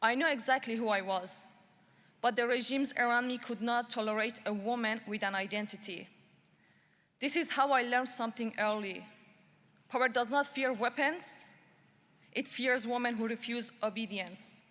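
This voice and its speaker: A woman from Iran addresses a human rights summit from the stage of a large conference hall. Her voice sits a little high, and she lays out each point in a measured, emphatic way. A long reverb trails behind her words. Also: fairly bright tone. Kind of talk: prepared speech.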